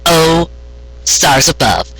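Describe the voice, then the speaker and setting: Male reader as female unicorn, raised pitch, playful, teasing, lively swings, storybook character. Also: very slow, vocal fry, heavily acted, rough recording. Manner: teasing, matter-of-fact.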